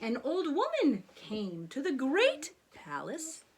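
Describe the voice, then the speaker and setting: High, very animated woman storyteller. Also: slow. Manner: theatrical, rhythmic rhyme reading. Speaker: storybook reader. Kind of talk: expressive children's bedtime storytelling.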